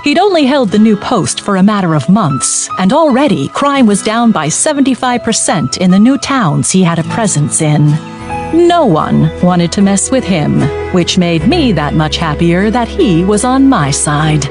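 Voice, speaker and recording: Woman audiobook narrator, wry and animated, medium pitch. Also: normal speed, over music. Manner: wry, lively storytelling. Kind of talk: first-person audiobook narration.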